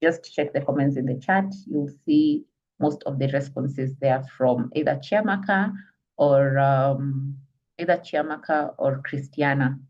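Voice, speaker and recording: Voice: mid-range voice, a little slow, a little mellow. A business trainer with a Kenyan accent gives an online lecture, explaining step by step and often asking listeners if they follow. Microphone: video call. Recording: distant, muffled call audio.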